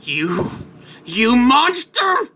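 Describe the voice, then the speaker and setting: Guy doing anime hero dad, anguished n vengeful, super forceful high voice, strained. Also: wildly swooping pitch, very slow, a little hiss or hum, exaggerated voice. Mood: enraged anguish. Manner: dramatic, strained declarations. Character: heroic male warrior father, anguished and vengeful.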